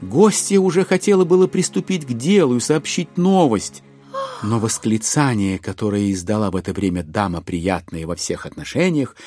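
A man narrating a literary audio drama in an expressive, wry, theatrical manner. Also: lowish voice, moderate pace, no echo, faint music behind.

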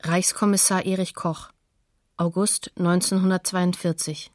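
A woman's voice: lowish voice, flat delivery, a little slow, darkish tone, dry recording, clean studio sound. A woman announcing sources and dates tersely for a scripted radio documentary.

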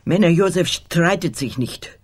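An older woman playing a loyal, curt old housekeeper in a radio crime drama, terse and guarded with a very husky voice. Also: lowish voice, animated, measured pace. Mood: curt, reticent. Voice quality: very husky, breathy.

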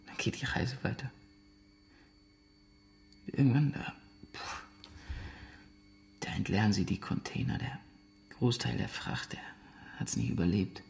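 A man playing a traumatised, guarded ex-soldier in a naturalistic radio drama, speaking in halting, broken phrases. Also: on the low side. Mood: haunted, strained. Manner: halting, broken sentences, breathy near-whispers. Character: traumatised ex-soldier, haunted, guarded former team leader.

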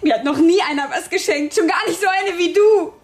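Casting: volatile young runaway, very high voice, bitter and mistrustful, radio thriller. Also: female voice, fairly quick. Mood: bitter, agitated. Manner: scornful, emotional outbursts. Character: distrustful, bitter, agitated young female fugitive. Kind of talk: radio drama acting.

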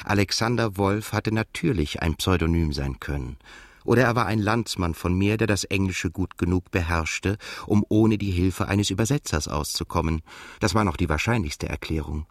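An actor playing a brooding, haunted émigré narrator in a literary radio drama, speaking in a halting, self-correcting way. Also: male voice, sits low. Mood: brooding, reflective. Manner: halting, self-correcting while translating aloud from English. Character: brooding Russian émigré man, narrator haunted by a past shooting.

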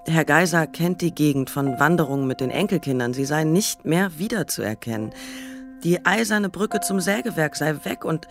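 A woman's voice: deep voice, expressive, moderate pace, music in the background. A woman narrating a literary radio play from a script.

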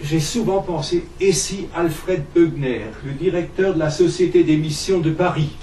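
A man's voice: a little high, fairly even intonation, normal speed, mellow, slight background noise. A man in the audience at a public talk, answering in a precise way and quoting documents. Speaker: audience member. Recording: echoey room, speaker far from mic.

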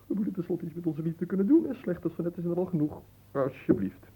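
Spoiled young male aristocrat, radio play: very mellow, slightly husky, animated, mocking and languid. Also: sits high, fast talker, exaggerated voice, poor audio. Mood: teasing, condescending. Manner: theatrical, languid, teasing. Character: bored, capricious young nobleman, playful and mocking. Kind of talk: radio drama acting.

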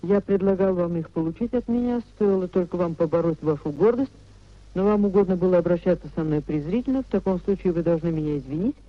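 A woman's voice: lowish voice, fast talker, dry recording, lightly acted. Woman acting a proud society lady, muffled recording. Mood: reading coldly. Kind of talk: 1950s radio play acting. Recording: dull 1950s radio drama recording.

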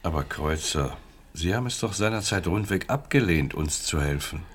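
Deep, coldly menacing secret policeman. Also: animated. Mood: smooth, insinuating. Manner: measured, insinuating, officious. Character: smug, coldly menacing East German secret police officer. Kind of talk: radio drama dialogue.

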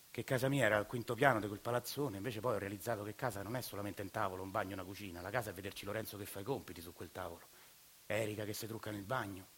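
An actor playing a humbled father speaking softly and tenderly to his wife. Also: lowish voice, slight background noise, voice pushed down, close to an everyday voice. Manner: soft, reflective, tender.

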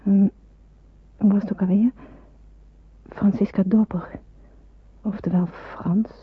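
Anxious young woman, husky voice. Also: mid-range voice, fairly even intonation, slow, no room sound. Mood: pensive, uneasy. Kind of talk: Dutch radio drama acting. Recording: narrow phone-like old radio recording.

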